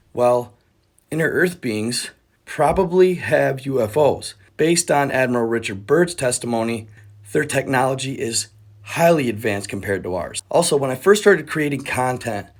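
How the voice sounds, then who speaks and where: A man presenting an explainer as a content creator, with a conspiratorial storytelling manner and rhetorical questions. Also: medium pitch. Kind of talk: explainer narration to camera. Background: background music.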